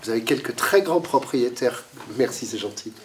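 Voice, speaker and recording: A man's voice: medium pitch, lively intonation, normal speed, recorded from a distance, a little hiss or hum. A man with a cold giving a talk to a library audience, lecturing with lots of fillers and restarts, coughing now and then. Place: library meeting room.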